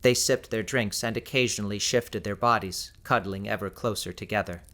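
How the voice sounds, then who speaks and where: Guy reading a novel for an audiobook, lowish voice, measured storytelling style. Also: bright, forceful tone. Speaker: audiobook narrator. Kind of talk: scripted fiction narration.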